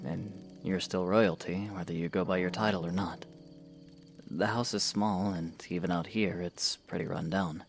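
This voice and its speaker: A man playing a considerate, reserved young knight in a fan audio drama, speaking in an earnest, hesitant way. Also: low voice. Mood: hesitant, concerned. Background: music.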